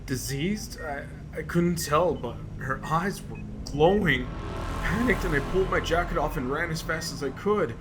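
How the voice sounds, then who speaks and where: A man performs a terrified patient in a horror audio drama. His voice sits a little high and comes out frantic and stammering, as if he is still being hunted. Clear dramatic music plays behind him. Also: animated, normal speed, close to an everyday voice. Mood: shaken, recounting fearfully. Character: terrified, hysterical male patient who escaped a monster.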